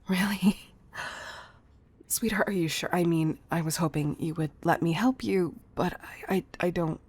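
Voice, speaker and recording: A woman playing a protective, doting CEO, tough but tender, in an intimate audio roleplay, husky and breathy, often close to a whisper. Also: lowish voice. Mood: surprised, hesitant. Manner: intimate, deliberate delivery with pet names.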